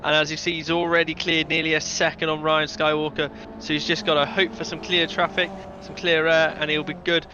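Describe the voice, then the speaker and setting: A man's voice: on the high side, level tone, a little fast, few pauses, dull audio, noisy background. A man giving color commentary on an online race, chatty and analytical, with light teasing of the drivers. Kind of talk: sim racing color commentary.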